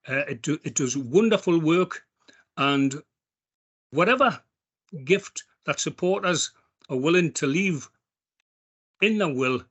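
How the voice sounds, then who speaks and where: A middle-aged man with a Geordie accent, giving a heartfelt appeal at an online charity event, halting often with ums and restarts. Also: fairly high pitch, sharp tone. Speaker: member of a veterans' charity speaking at an online event.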